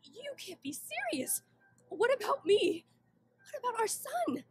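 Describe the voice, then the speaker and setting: A woman's voice: very high voice, big pitch swings, unhurried, exaggerated voice. A woman voicing a tender, anxious young unicorn mother in a cartoon drama. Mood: alarmed, pleading. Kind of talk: cartoon fan-drama acting.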